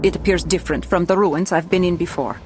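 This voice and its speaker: A woman's voice: on the low side, fairly even intonation, fast talker, over loud music, lightly acted. An actress playing a guarded, dutiful female mercenary soldier in a video game cutscene, reporting to her superiors. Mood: focused.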